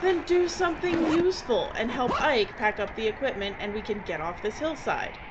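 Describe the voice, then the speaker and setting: A woman's voice: fairly high pitch, expressive, normal speed, lightly acted. A woman playing a bossy, irritable film director in an audio drama, curt and sarcastic. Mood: exasperated. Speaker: actor in radio drama. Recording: muffled, clear background noise.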